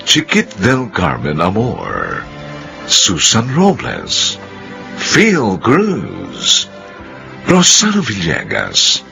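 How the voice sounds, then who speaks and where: A man's voice: lowish voice, huge pitch swings, very slow, gravelly. A man with a Filipino accent giving scripted, reflective narration as the narrator of a radio drama. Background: music.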